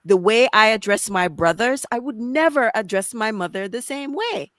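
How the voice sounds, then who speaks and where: A woman's voice: fairly high pitch, expressive, moderate pace, a bit bright, no room sound, studio quality. A Jamaican woman hosting a conversational interview, talking animatedly and slipping into patois. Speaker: podcast host.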